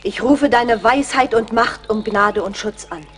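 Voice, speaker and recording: A brave young captive implores earnestly in a fairly high voice. It is formal radio play acting with faint background noise. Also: female voice, measured pace, a bit bright. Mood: pleading.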